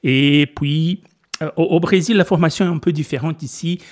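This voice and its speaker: A man's voice: fairly high pitch, moderate pace. A middle-aged Brazilian man with a Portuguese accent, speaking French as a guest in a radio interview, hesitant with many fillers and restarts. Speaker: physics lecturer, guest.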